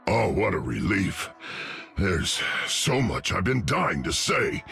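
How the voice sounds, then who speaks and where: A man voicing a big, gentle, earnest and chatty tree creature with a gravelly voice in a video game. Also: low-pitched, big pitch swings, slow, faint music behind, full character voice. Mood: earnest, polite. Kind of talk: video game character dialogue.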